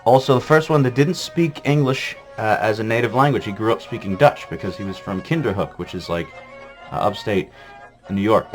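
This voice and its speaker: Low-voiced man rambling profanely. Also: brisk, faint music behind. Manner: rambling, profane, full of fillers. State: jittery from coffee. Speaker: vlog host. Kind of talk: irreverent comedic history rundown.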